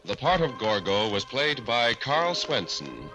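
A man's voice: medium pitch, sing-song, a little slow, lo-fi sound. A man narrating and announcing a 1940s radio show in a formal, ominous, scripted style. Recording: 1940s radio broadcast recording. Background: music.

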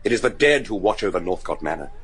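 Radio horror drama: young manor heir, bitter, evasive, darkly formal, highly animated pitch, noisy ambient track. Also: male voice, fast. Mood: ominous.

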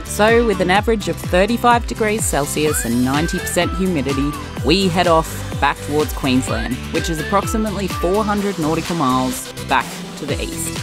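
Low-voiced Australian woman narrating over loud music. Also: lively intonation, brisk, few pauses. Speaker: sailing vlog narrator. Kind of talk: scripted narration.

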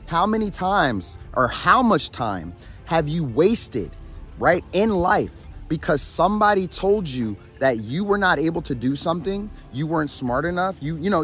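Hoarse male motivational host, slightly high voice, tired but pumped, preachy, soft music behind. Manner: energetic, preachy, repeats "all right" and "family". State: hoarse, losing his voice. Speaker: motivational YouTube host and coach. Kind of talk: motivational talk to camera. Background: background music.

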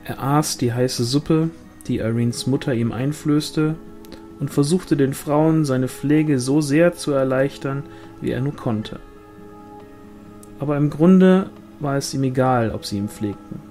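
A man reads a novel aloud in a scripted narrating style. His pitch sits in the middle range. Faint instrumental music plays behind his voice. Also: a little slow, dark tone. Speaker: audiobook reader.

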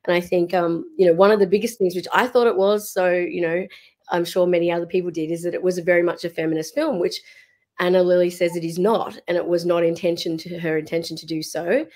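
Woman in her 30s hosting over webcam, kinda squeaky today, lots of ums and restarts, lowish voice. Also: level tone, fast talker, darkish tone. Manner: hesitant, many fillers and restarts. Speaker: podcast host. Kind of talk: casual chat. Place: room at home. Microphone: video call webcam.

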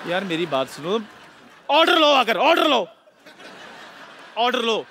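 A man's voice: extremely high pitch, sing-song, measured pace, bright, forceful tone, heavily acted. A male comedian playing an exasperated, bossy shopkeeper in a stage sketch, whiny and argumentative, on a headset mic. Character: exasperated, bossy male rival tea-shop keeper. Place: large indoor TV studio stage with audience. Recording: noisy TV studio stage, clicks.

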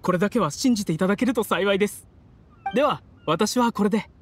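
A man's voice: high-pitched, quick pace, faint music behind. A man voicing a polite, modest young scholar who speaks formally and courteously in a Japanese video game. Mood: polite, humble.

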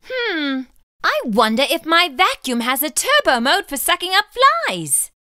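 A dramatic, fussy young male frog who frets over every mess, as a woman puts him on. The voice sits very high and swings widely, with a bright, sharp edge and slow, drawn-out delivery. It is recorded dry and close, with no room sound. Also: big pitch swings, no room sound, exaggerated voice. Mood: frantic. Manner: dramatic, fretful, exclaiming. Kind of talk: storybook read-aloud acting.

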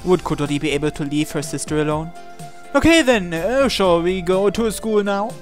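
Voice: mid-range voice, animated, normal speed. A person reading visual novel text aloud for a let's play, acting out the dialogue expressively. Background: game music.

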